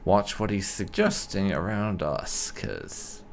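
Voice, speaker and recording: A man's voice: low voice, takes it slowly, vocal fry, slight background noise. A man giving casual let's play commentary as a gaming host, musing aloud with wry asides and some roleplay.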